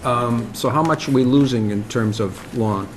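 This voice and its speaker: A man chairing a conservation commission meeting, speaking in a measured, polite, procedural way. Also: mid-range voice. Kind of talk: public meeting discussion. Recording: noisy municipal TV broadcast.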